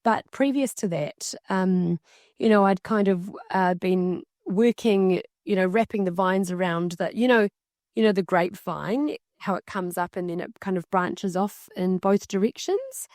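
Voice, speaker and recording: A woman interpreting a signer's casual story aloud, in halting, conversational phrases. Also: mid-range voice, a little mellow, no echo, clean studio sound. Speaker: sign language interpreter voicing a signer.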